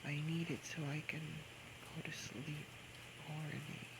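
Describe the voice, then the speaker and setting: A man playing a drunk, clingy, playful young boyfriend in an intimate ASMR roleplay, with slurred, coaxing, halting speech. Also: fairly high pitch, flat delivery. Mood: pleading, whiny. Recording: noisy homemade microphone recording.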